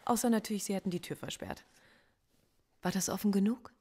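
A woman playing a quiet, guarded professor suspect. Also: medium pitch, husky, lightly acted. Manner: quiet, measured, often hushed and introspective. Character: female philosophy professor suspect, lonely, guarded, defiant. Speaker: suspect under interrogation. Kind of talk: radio play acting.

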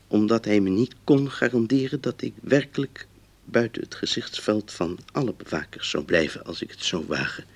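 An actor playing a trusting, hopeful condemned prisoner who reads out his letter in a measured, earnest voice for a radio drama. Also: fairly low pitch, gravelly, slightly rough, full character voice.

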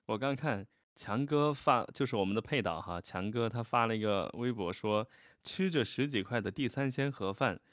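A man's voice: mid-range voice, moderate pace, fairly bright tone, no room sound, clean studio sound. A man co-hosting a casual livestream, talking effusively and often repeating his words of agreement. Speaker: voice actor co-hosting a livestream about an audio drama. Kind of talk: casual live chat.

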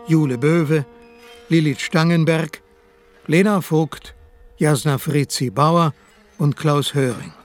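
Slow, formal male announcer. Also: fairly high pitch. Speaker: credits announcer. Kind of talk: radio credits announcement.

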